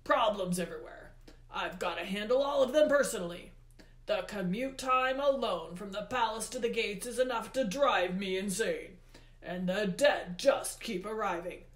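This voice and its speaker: Woman voicing a booming, furious underworld god, echoey. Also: natural voice. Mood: exasperated ranting. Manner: booming, emphatic, drawn-out words. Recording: roomy, echoey indoor sound.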